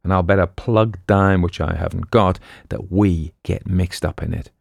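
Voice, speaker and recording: A man's voice: sits low, steady tone, normal speed, gravelly, dry recording, studio quality. A man voicing a con man's jumpy, grumbling sidekick who talks in slang, in an audiobook story. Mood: alarmed grumbling.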